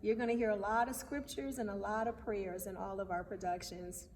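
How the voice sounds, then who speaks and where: A woman chatting casually in an interview as a playwright, with an animated, laughing manner. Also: mid-range voice, brisk. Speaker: playwright interviewed about her stage play. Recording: echoey hall, distant mic, faint noise.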